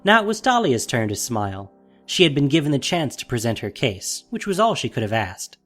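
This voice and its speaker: A man's voice: mid-range voice, sing-song, a little fast, fairly bright tone, soft background music. A man narrating a fantasy audio drama in a dramatic, scripted storytelling style.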